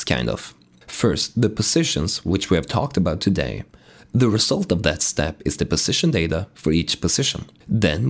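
A man with a raspy voice from being sick, calmly explaining code in a tutorial walkthrough. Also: deep voice. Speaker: game dev tutorial host. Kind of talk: screen-recorded coding tutorial narration.

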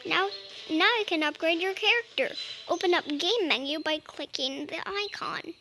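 A woman's voice: very high voice, moderate pace. A woman playfully role-playing in a video game, musing casually as she plays. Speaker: gamer role-playing as a dragon. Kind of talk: casual gameplay narration with playful role-play. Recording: game music behind the voice.

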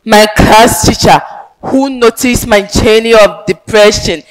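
A woman's voice: fairly high pitch, unhurried, rough recording. Young Ghanaian woman declaiming on stage. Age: young adult. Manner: theatrical, emphatic, declamatory, with sudden loud swells. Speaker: pageant contestant. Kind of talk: dramatic advocacy monologue on stage. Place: large indoor stage.

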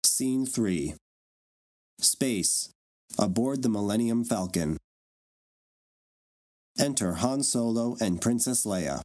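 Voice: mid-range voice, lively intonation, slow, long pauses, warm tone. A narrator reading scripted stage directions for a dramatic reading.

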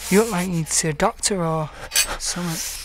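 A woman's voice: fairly low pitch, fast, noisy background, close to an everyday voice. A woman playing a troubled college-age son in a radio play, whining and teasing. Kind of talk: amateur radio play acting.

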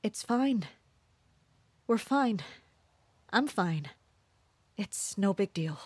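A woman playing a guarded, distrustful commander of mercenaries in an audio drama, measured and introspective. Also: mid-range voice, expressive, a little mellow, husky, dry recording, heavily acted. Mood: agitated. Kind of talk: audio drama acting with inner monologue.

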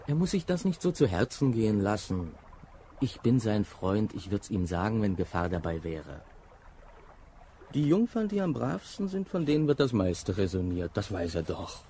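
A man's voice: fairly low pitch, animated, measured pace, mellow, slight background noise. A man in a German radio drama playing a hearty, condescending army major. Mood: bluff, persuasive.

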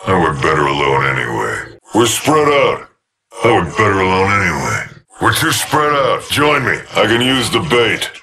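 An actor playing a cold, predatory killer robot with a harsh, contemptuous growl. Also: male voice, low-pitched, very animated, moderate pace, a crowd in the background. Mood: cold menace. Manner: ominous, contemptuous.

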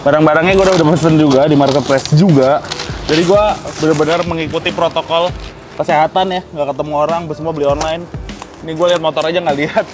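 A young man from Indonesia hosting a casual motorbike unboxing vlog, talking in a chatty, excitable way in an outdoor garage yard. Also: a little high, fast talker, music in the background. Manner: chatty, excitable, swears and laughs. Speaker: motovlog host.

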